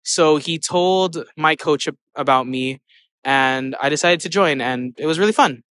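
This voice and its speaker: A man's voice: fairly high pitch, a little slow, fairly bright tone. A teenage male student casually telling his story in an interview. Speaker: student competitor being interviewed.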